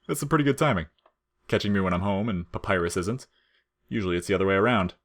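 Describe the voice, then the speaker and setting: A man's voice: low voice, lively intonation, fast. A man acts out a slacker skeleton in a storybook reading of a game tale. He is laid-back and wisecracking, tossing out casual slang. His teasing is dry and unbothered.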